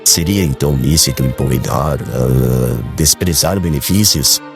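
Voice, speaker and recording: An actor playing a calm, tolerant, dignified household guardian in a dramatized audiobook, speaking in measured formal phrases. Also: male voice, very deep voice, faint music behind. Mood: calm, conciliatory.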